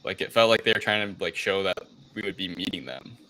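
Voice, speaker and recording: A man with a low-pitched voice that still has a bright, forceful edge. He speaks as a podcast co-host in casual review talk, haltingly working out his thoughts aloud. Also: expressive, a little hiss or hum, poor audio. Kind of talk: casual movie review chat.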